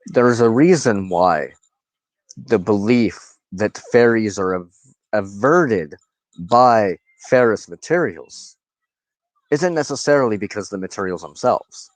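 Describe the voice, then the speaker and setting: A man with a very low voice talks slowly and reflectively, as if to his own viewers. He halts and pauses mid-sentence as he rambles through his thoughts. The sound is dry and close. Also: animated, no echo, low-quality recording. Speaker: host of a personal spiritual channel, wand crafter. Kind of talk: rambling informal monologue to viewers.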